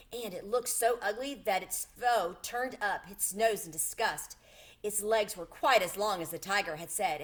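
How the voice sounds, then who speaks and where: A woman narrating a children's chapter book aloud in an expressive, deliberate way. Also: mid-range voice. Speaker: storybook reader.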